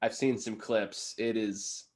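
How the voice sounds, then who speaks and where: A man's voice: on the low side, little pitch movement, slow, darkish tone. A man co-hosting a casual, unscripted review chat, talking in a wry and rambling way. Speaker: movie reviewer, co-host.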